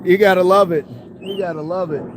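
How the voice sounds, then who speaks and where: A man chats casually on a fitness livestream, answering viewer comments and thanking people by name. His speaking voice sits a bit high for a man. He laughs easily and keeps the talk loose and friendly. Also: fairly even intonation, fairly quick, noisy background.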